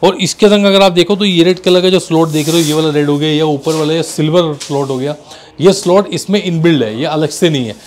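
A man's voice: on the high side, steady tone, fairly quick, a little hiss or hum. A man, informally showing and explaining products to viewers as a shop presenter. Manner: explanatory, addresses viewers as brothers. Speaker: auto accessories shop presenter. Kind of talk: informal product showcase to camera. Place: small indoor car accessories showroom.

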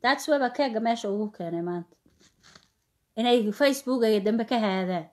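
High-voiced Somali woman ranting. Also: expressive, a little fast, a little mellow. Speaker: vlogger. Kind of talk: informal mocking rant to camera, mixing Somali and broken English. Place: small plain room indoors.

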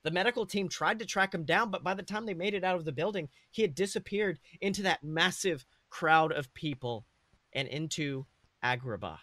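A man's voice: fairly high pitch, animated, normal speed, bright, forceful tone, dry recording. A man co-hosting a podcast, reading and discussing his own comic fan fiction. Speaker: podcast co-host.

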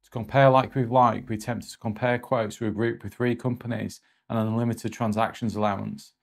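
A man in his forties presenting a scripted review in a measured, matter-of-fact way. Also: mid-range voice, flat delivery, creaky.

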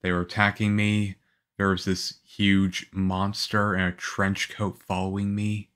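A man playing a calm, reassuring young government agent in an ASMR roleplay, speaking softly. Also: low-pitched, clear, resonant tone. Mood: reflective. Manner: soft-spoken, unhurried, reassuring.

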